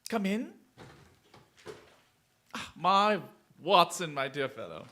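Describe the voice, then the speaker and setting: An actor playing a brilliant, restless gentleman detective in a radio drama, with a grandly eloquent, quick-witted manner. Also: high voice, very animated, heavily acted. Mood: delighted, exuberant.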